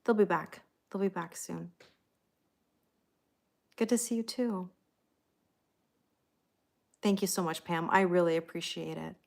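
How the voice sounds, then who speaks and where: Middle-aged lady doing a tarot live stream, lowish voice, chatty, thanks everybody by name. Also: fairly even intonation, measured pace, vocal fry, long pauses. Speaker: tarot reader hosting a livestream. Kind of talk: casual livestream chat, greeting viewers.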